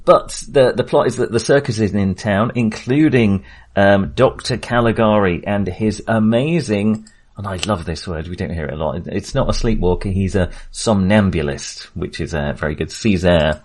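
A man co-hosting a podcast, discussing film casually in a halting, think-aloud way. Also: on the low side, a little mellow, slightly rough. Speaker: podcast co-host.

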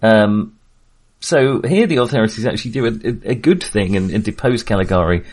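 A male podcast co-host with a lowish voice talks informally about cinema. His delivery is halting, as if he is working out each thought while he speaks. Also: animated, darkish tone, breathy edge. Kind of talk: casual film discussion.

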